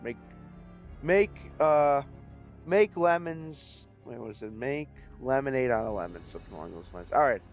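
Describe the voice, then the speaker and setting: Hoarse man hosting a gaming stream. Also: medium pitch, expressive, normal speed, highs cut off, faint music behind. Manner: loose, rambling, signs off with jokey farewells. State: hoarse, voice cracking. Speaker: stream host. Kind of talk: casual gaming stream chat.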